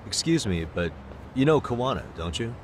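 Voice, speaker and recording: An actor playing a cool, hard-nosed private detective in a video game dub, measured and matter-of-fact. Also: male voice, mid-range voice, very animated, noisy background, close to an everyday voice. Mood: polite, casual.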